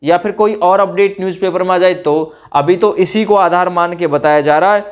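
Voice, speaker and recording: A man's voice: high-pitched, normal speed. A man speaks. He explains news updates informally, like an online host. His delivery is conversational and explanatory, speaking straight to his viewers. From India. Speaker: YouTube host giving recruitment exam updates.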